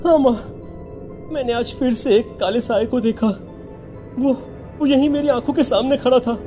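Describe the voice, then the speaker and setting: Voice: mid-range voice, moderate pace, warm tone, soft background music, close to an everyday voice. An actor voicing a frightened, clingy teenage schoolboy in a horror cartoon, hesitant and repeating pleas. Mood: fearful. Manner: hesitant, repetitive pleading. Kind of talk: melodramatic horror cartoon acting.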